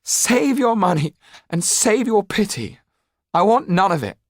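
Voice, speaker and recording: A man acts out a wounded, defiant orphan boy in a storybook. The voice is lifted and a little rough, full of stubborn grief and anger. It is recorded close and dry. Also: sits high, big pitch swings, unhurried, no echo, pitched above the performer's own voice, exaggerated voice. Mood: furious, tearful. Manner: emotional, through gritted teeth or shouting.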